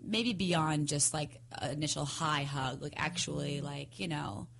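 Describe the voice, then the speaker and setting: Female podcast panelist with a deep-set pitch, casual, informal and colloquial. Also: steady tone, measured pace, creaky, fairly bright tone. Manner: informal, peppered with "like". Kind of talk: casual chat.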